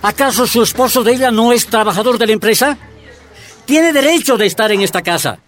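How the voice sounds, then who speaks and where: Un actor que interpreta a un dirigente sindical minero boliviano, protector e indignado, de hablar enfático y oratorio, en una radionovela. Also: male voice, very high-pitched, brisk, a bit bright, faint music behind. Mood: indignant. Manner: emphatic, oratorical, repeats phrases. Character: fiery male Bolivian miners' union leader, indignant, protective. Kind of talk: radio drama acting.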